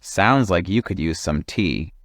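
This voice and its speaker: A man voicing a calm, helpful animal friend in a storybook, gentle and encouraging. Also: low-pitched, unhurried, no room sound, studio quality, full character voice. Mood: gently encouraging.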